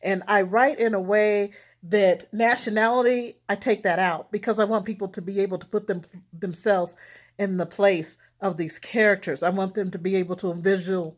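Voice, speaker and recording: A woman author speaking candidly and informally to an audience, expressive and emphatic, repeating key words for effect. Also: medium pitch, moderate pace. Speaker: erotic romance author promoting their own book.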